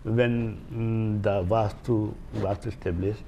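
An older man with an Indian accent explains as an interview guest in a studio, haltingly, searching for words and repeating phrases. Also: lowish voice, steady tone, vocal fry, dark tone, a little hiss or hum. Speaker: guest, Vastu healer. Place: small indoor TV studio. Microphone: lapel mic.

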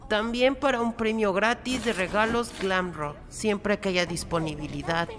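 A woman's voice: mid-range voice, measured pace, close to an everyday voice. A woman voicing a plucky, anxious young boy with a blunt, grumbling manner in a fan-dubbed game animation. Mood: disappointed. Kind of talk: fan dub acting for animated game series.

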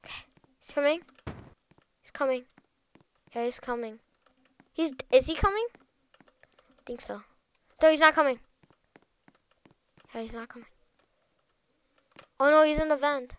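A woman giving casual, slangy running commentary while playing a video game, reacting out loud as things happen. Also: sits high, measured pace, long pauses, no echo, dull audio. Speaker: gameplay streamer.

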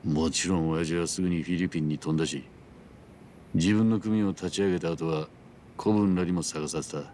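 A man playing a grave, world-weary middle-aged yakuza in a video game drama, speaking in measured, rough gangster phrasing. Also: deep voice, steady tone, mellow, slight background noise, close to an everyday voice. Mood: grave, matter-of-fact. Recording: music behind the voice.

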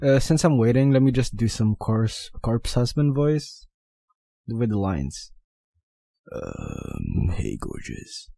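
A man's voice: mid-range voice, unhurried, warm tone, no room sound, clean studio sound. A man chatting casually between takes in a joking, self-mocking way. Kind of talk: casual outtake chat.

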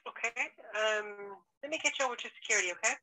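Very bright-voiced woman on the phone. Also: medium pitch, fast talker. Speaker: casino phone operator. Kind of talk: customer service phone call. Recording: voice over a phone call.

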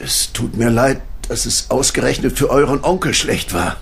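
An actor playing an elderly, ill coin dealer in a radio play, talking tiredly. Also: male voice, medium pitch, lively intonation, normal speed, creaky, slight background noise. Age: older.

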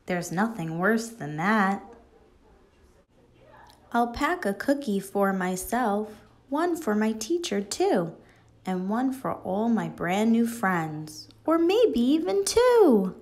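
A woman reading a picture book aloud to children like a teacher, expressive and deliberate, in a child-directed storytelling manner. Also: medium pitch.

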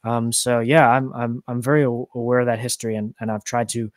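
A man's voice: medium pitch, flat delivery, fairly quick. The young singer on a music show's video call, a man talking sincerely and thankfully up close into his own mic, no room echo. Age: young adult. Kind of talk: casual interview chat. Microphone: studio microphone on an arm, close.

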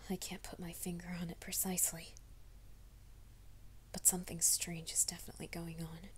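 A woman's voice: lowish voice, flat delivery, moderate pace, close to an everyday voice. Woman voicing a whispering young werewolf man. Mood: whispered panic. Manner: mostly hushed, urgent whispering to himself. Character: young male werewolf soldier, anxious and secretive. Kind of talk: ASMR roleplay audio.

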